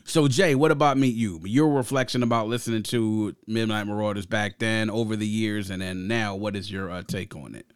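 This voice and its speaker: A middle-aged American man hosting a casual podcast discussion, explaining his view in a measured, diplomatic way. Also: lowish voice, fairly even intonation, smooth, dry recording, studio quality. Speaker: podcast host.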